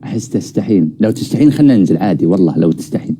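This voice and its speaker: A man's voice: low voice, lively intonation, very fast, dark tone, breathy edge. A man bantering casually as a live stream host, teasing and prodding with questions.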